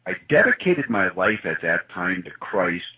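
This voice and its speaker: Low husky man telling his story. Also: big pitch swings, normal speed. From Wisconsin. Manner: earnest, reflective storytelling. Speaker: guest, organization CEO. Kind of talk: personal testimony in an interview. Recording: muffled lo-fi sound.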